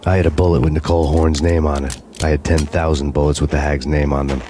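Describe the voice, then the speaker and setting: An actor playing a weary, sardonic hard-boiled cop, narrating a video game in noir style. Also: male voice, rumbling low, fast talker, noisy background. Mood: grim. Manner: flat, deadpan, sardonic.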